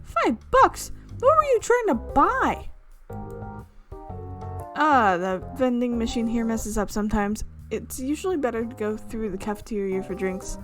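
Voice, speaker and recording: A woman voicing a timid, anxious young college student in a visual novel, squeaky and hesitant. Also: very animated, unhurried. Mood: tentative, helpful. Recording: music playing behind the voice.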